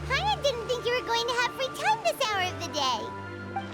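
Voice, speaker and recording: Tiny game fairy girl: squeaky, childlike, animated, outspoken and curious. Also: moderate pace, exaggerated voice. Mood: eager. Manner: talks about self in third person, exclaims. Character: tiny floating fairy girl companion, chirpy, inquisitive, outspoken. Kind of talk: video game voice acting. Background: music.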